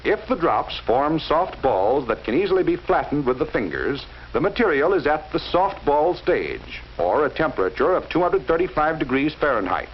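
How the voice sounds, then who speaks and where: A man narrates a 1940s instructional film in a measured, explaining manner, speaking straight to the viewer. His pitch rises and falls a great deal. The sound is muffled and lo-fi, with a faint hiss. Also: very animated. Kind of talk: scripted educational film narration.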